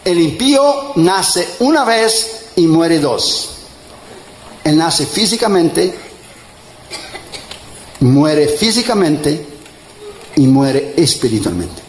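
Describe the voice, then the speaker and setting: A man in his fifties, an evangelist preaching a sermon, tired, emphatic, mixing jokes with loud rising exhortation. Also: on the high side, sing-song, very slow, pauses often. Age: 50s. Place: church in front of a congregation. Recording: echoey church with crowd and noise.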